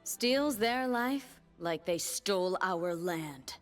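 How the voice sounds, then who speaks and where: A woman's voice: mid-range voice, animated, slow, faint music behind. A woman playing a determined, stern young warrior leader in a video game, speaking in solemn, commanding, clipped declarations. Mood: grim, defiant. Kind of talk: video game character voice lines.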